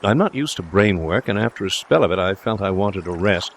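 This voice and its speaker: A man voicing a breezy, confident young gentleman suitor in an audiobook, speaking in a jaunty, reassuring, chatty way. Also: low voice, expressive, brisk, a little hiss or hum. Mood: chatty, confiding. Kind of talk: audiobook character dialogue.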